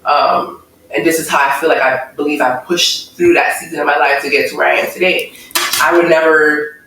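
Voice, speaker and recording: A woman guest talking about her life, earnest and thoughtful, pausing between her thoughts. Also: low voice, steady tone, fairly bright tone, slight background noise. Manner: earnest, thoughtful, measured with pauses. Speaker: interview guest. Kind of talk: reflective personal testimony. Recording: echoey room, mic far off.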